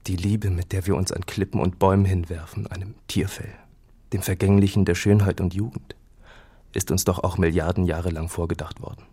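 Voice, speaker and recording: Deep, husky, brooding farmer. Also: male voice, flat delivery, warm tone. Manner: grave, deliberate, poetic. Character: solemn, brooding, wealthy lone farmer. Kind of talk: literary radio drama acting.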